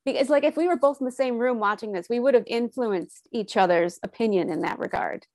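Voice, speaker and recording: A woman's voice: a little high, fairly quick, darkish tone, dry recording. A woman co-hosting a podcast, chatty and conversational in a casual exchange. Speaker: podcast co-host. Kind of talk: casual chat.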